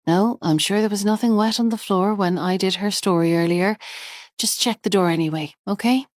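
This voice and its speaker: Gentle young mum, voiced by a woman narrator. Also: mid-range voice, moderate pace, no echo, studio quality, natural voice. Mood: practical. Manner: gentle and reassuring. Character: patient, soothing young mother.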